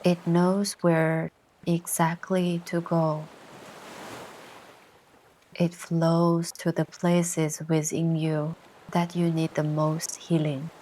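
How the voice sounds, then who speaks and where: Slow, low-voiced woman guiding meditation. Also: flat delivery, slight background noise. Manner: soothing, gentle, unhurried instruction with long pauses. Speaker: meditation guide. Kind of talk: guided meditation narration. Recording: ocean surf sounds behind the voice. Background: ocean waves ambience.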